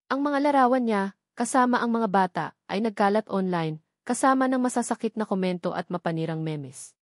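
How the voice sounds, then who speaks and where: A woman's voice: mid-range voice, darkish tone, no echo, clean studio sound. A woman narrating a scripted, dramatic romance story as a storyteller.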